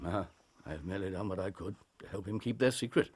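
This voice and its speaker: An actor playing a grave, proud, compassionate older English doctor in a radio drama, speaking in an earnest, formal, emphatic way. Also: male voice, low-pitched, fast talker, darkish tone, husky. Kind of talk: British radio drama acting.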